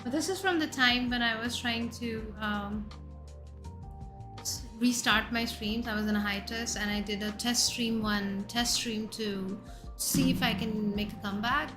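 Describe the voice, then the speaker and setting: Woman, 30s, Indian accent, VTuber host; unhurried, bright and chatty, soft music bed. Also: on the high side. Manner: chatty, jumps between questions to chat, loud exclamations. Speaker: VTuber streamer. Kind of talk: casual chat reading viewer messages. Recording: faint background music under voice.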